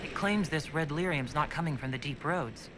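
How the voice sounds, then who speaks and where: A man's voice: fairly high pitch, moderate pace, natural voice. A man playing a resolute, practical fantasy leader in a video game, speaking in a composed, matter-of-fact way. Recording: clear background noise and ambience.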